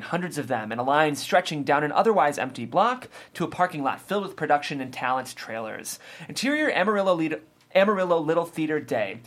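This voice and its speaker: Mid-pitched narrator reading screenplay directions. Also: fairly bright tone.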